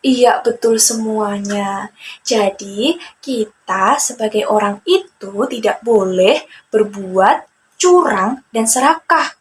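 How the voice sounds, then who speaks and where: A young woman storyteller from Indonesia with a fairly high voice. She speaks very slowly and expressively to children, with faint ambient noise behind her. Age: young adult. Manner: deliberate, addressing young listeners directly.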